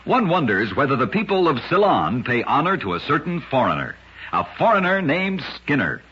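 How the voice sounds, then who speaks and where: A man's voice: mid-range voice, huge pitch swings, normal speed, sharp tone, husky. A man narrating short curiosities for radio in a scripted, dramatic style with emphatic storytelling. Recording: dull lo-fi old radio recording.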